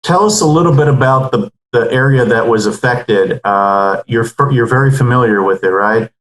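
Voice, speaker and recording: A man hosts a webinar and puts questions to a guest, his voice middling in pitch. He fills his speech with ums and uhs. The sound has an echoey room around it. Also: normal speed, fairly bright tone. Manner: many ums and uhs, loose phrasing. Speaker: webinar host. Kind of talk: moderating a webinar. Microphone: video call.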